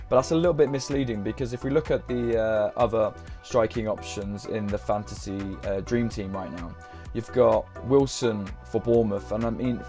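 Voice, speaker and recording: A young man, chatting casually on a live stream, slightly croaky from a sore throat, hesitating often with ums and uhs. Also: medium pitch, a little mellow. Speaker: fantasy football streamer.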